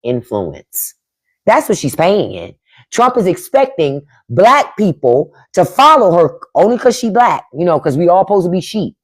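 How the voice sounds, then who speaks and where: Blunt, profane woman with a lively voice. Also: lowish voice, moderate pace, slightly rough. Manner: blunt, profane, emphatic, reads and answers chat. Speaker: podcast host on a live stream. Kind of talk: casual livestream commentary.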